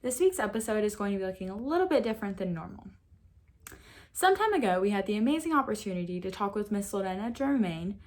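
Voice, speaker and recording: A young woman presenting a scripted introduction as the host of a student news show. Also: mid-range voice.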